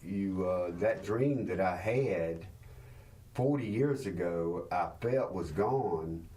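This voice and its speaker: A man, talking reflectively and haltingly in an interview. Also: sits low, lively intonation, mellow. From South Carolina, United States. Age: late 60s. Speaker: musician being interviewed.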